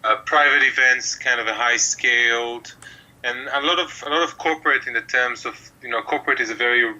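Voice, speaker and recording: Bright-voiced Israeli-born mentalist, hesitant. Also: male voice, mid-range voice, brisk, poor audio. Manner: rambling, hesitant, many fillers. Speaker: mentalist guest. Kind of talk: relaxed interview talk.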